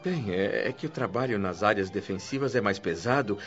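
An actor playing an earnest, slightly hesitant newcomer eager to volunteer in a radio drama. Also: male voice, lowish voice, a little fast, highs cut off, soft background music, natural voice.

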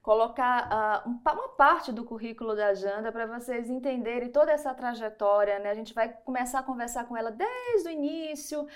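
A woman from Bahia hosting an interview podcast, friendly and measured as she reads introductions. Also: fairly high pitch, lively intonation. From Bahia, Brazil. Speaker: podcast host.

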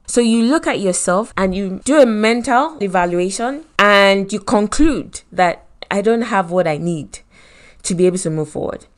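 Nigerian woman, host of a faith podcast, earnest motivational delivery, mid-pitched. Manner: earnest, preacherly, acts out quoted voices.

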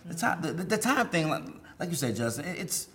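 A man's voice: a little high, very animated, fairly quick, bright, forceful tone. A man on a podcast panel discussing movies casually, often repeating phrases as he goes.

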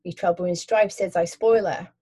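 A woman's voice: lowish voice, steady tone, normal speed, warm tone, breathy edge. Woman putting on a cheeky cockney cabbie guy, matey, full of rhyming slang. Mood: chummy. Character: cheeky Cockney cabbie, middle-aged man.

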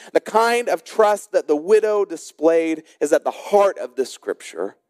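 A man delivers a sermon in a voice pitched a bit higher than most men's. He is an emphatic storyteller, shifting between brisk narration and slow, dramatic phrasing. The sound is dry, with very little room around the voice. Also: a little mellow, no echo. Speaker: pastor preaching. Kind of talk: sermon with storytelling and scripture exposition.

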